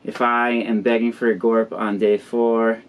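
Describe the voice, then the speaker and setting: A man's voice: on the low side, little pitch movement, measured pace. A man in his thirties giving a casual how-to explanation as an outdoor vlogger. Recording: clear ambient noise behind voice.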